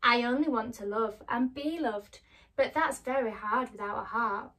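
A polite, earnest tin man, as a woman reader puts him on. He asks gently and speaks with soft sincerity. The voice is a little distant from the mic. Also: fairly high pitch, normal speed. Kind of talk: storybook read-aloud.

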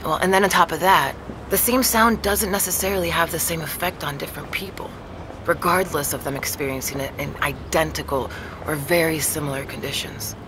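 A woman playing a measured, matter-of-fact neurologist who explains things in a naturalistic audio drama. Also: sits low, noisy background, lightly acted. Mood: explanatory.

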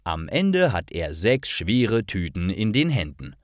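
Male storyteller for language learners: deliberate, highly animated intonation, dry close recording. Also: no room sound. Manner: deliberate, carefully phrased. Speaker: narrator of stories for language learners.

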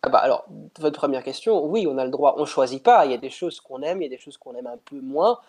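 A man's voice: mid-range voice, expressive, fast, darkish tone. A young man, not a native speaker, talking through a headset on a video call, thoughtful and hesitant with many restarts. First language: not French. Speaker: pianist interviewed. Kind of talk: casual interview over video call. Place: indoor room at home.

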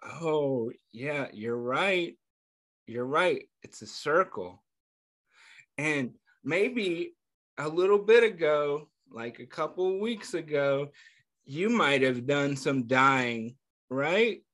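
A man reading a picture book aloud to young children, pausing often to ask them questions and praise their answers, and calling himself by name in the third person. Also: fairly high pitch, animated. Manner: gentle, patient, asks questions and pauses for answers. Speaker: children's story reader.

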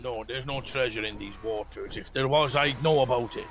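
A man's voice: medium pitch, normal speed, background noise, natural voice. A man voicing a cagey male pirate fisherman who speaks in old sea-dog slang.

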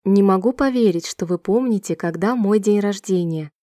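A woman reading short sentences slowly and clearly for a language-listening drill, repeating each one in a measured, even way. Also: mid-range voice, no echo, studio quality. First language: Russian. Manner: clear, measured, evenly paced repetition. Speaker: Russian sentence reader.

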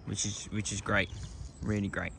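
Low-voiced man vlogging by a lake. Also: level tone, normal speed, vocal fry, heavy background noise. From England. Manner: low-key, resigned, chatty with many "um"s. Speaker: carp fishing vlogger. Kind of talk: casual vlog to camera. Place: outdoors by a lake. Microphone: phone camera held at arm's length.

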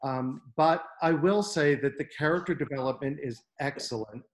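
Man, 30s, slightly high voice, considered hesitant critique, home video-call sound. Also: moderate pace. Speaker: audience member giving feedback. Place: room at home by a window.

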